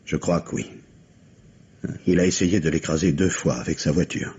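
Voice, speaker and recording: A man's voice: low-pitched, fairly even intonation, normal speed, darkish tone, breathy edge. An actor playing an anxious, devoted husband, a businessman, urgent and hesitant, in the manner of old French radio mystery drama. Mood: distraught, urgent. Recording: muffled, indistinct old radio recording.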